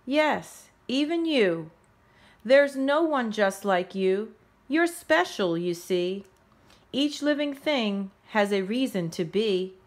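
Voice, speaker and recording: A woman voicing a gentle, reassuring mother comforting her little girl in a storybook. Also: mid-range voice, animated, takes it slowly. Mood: soothing.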